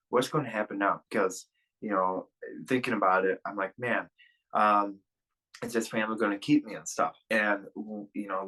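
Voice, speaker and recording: Halting, bright-voiced young man. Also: fairly low pitch, poor audio. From Russia. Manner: rambling, halting, many fillers and restarts. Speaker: podcast guest. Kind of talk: candid personal interview. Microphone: video call.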